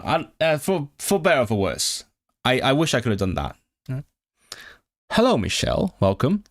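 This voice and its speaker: A man's voice: fairly low pitch, creaky. A young man streaming live, chatting casually with viewers about his tutoring work, stopping and restarting as he thinks aloud. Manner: hesitant, many restarts and fillers, thinking aloud.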